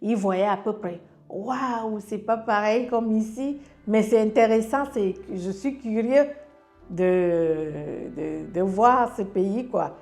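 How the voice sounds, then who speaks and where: A woman with a Cameroonian French accent recounts her own story in a relaxed, conversational way. She pauses often on fillers, restarts her sentences, and slips into dialogue to pass on what others told her. Her voice sits at a middling pitch for a woman. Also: soft background music. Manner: halting, frequent fillers and restarts, relays others' words in dialogue. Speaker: guest sharing a personal testimony. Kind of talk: casual spoken storytelling.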